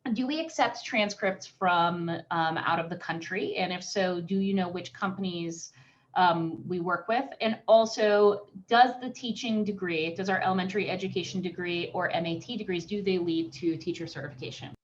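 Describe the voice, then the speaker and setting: A woman's voice: lowish voice, moderate pace, slight background noise. A woman moderating a webinar Q&A, upbeat as she reads out audience questions and hands them to panelists. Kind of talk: moderating a live Q&A. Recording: dull, lo-fi web call audio.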